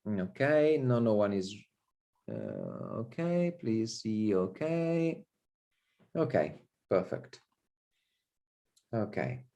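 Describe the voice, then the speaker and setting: A man with an Italian accent gives a university lecture over a video call, his voice warm and mellow. He talks in a relaxed, easygoing way, dotting his sentences with fillers and a steady stream of "okay". He speaks at an everyday pitch through a computer mic. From Italy. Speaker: visiting professor.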